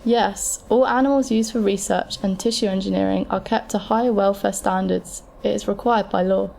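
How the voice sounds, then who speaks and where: A woman plays a calm medical scientist in an audio drama. Her voice is mellow and mid-pitched with plenty of vocal fry. She explains things in a measured, matter-of-fact way. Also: slight background noise, lightly acted. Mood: reassuring, frank. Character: frank, calm futuristic transplant scientist advising a patient. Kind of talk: scripted explanatory dialogue in an amateur audio drama.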